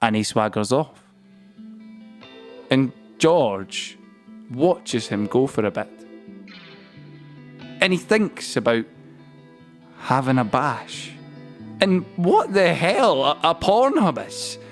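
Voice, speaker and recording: A man narrating a scripted audio play as a storyteller, with deliberate phrasing and dramatic pauses, over a musical score. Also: medium pitch, sing-song, soft background music.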